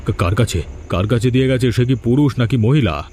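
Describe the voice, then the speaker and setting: A man's voice: mid-range voice, fairly quick, darkish tone, natural voice. A man voicing an agitated, selfish villager in a cartoon, dramatic, pleading and defiant, fearful and vengeful. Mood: panicked. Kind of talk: scripted cartoon dialogue. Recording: music under the voice.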